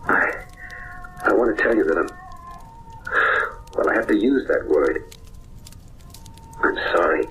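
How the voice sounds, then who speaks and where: A man's voice: sits low, expressive, measured pace, pauses often, a bit bright, a little hiss or hum, low-quality recording. An actor playing an anxious, apologetic man in an old-time radio mystery, hesitant and stammering. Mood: apologetic, pleading.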